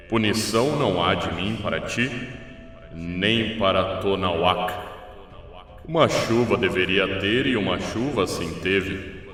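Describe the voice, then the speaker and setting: A solemn, commanding god of rain speaks very slowly in an audio drama. The words are declaimed from far off in a huge, echoing space, over music. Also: male voice, low voice, a bit bright. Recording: distant voice in cavernous long reverb.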